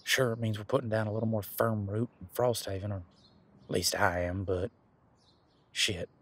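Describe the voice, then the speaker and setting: Husky, easygoing werewolf guy, played by a man. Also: fairly low pitch, fairly even intonation, normal speed. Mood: earnest, reassuring. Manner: informal, chatty, frank, mildly profane. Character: easygoing, protective male werewolf, imposing but responsible. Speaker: voice actor in audio roleplay. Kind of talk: casual conversational audio roleplay monologue.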